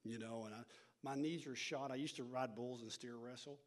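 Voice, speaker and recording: A man's voice: mid-range voice, steady tone, a little fast. A middle-aged man with a North Texas accent, a church education pastor leading a workshop in a folksy, self-deprecating way, full of restarts and fillers. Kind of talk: casual talk to a church group, opening with prayer.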